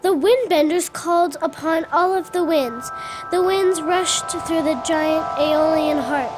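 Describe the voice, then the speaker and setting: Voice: very high voice, measured pace, over music, poor audio. A child of about nine or ten reading scripted storytelling narration in a school radio drama.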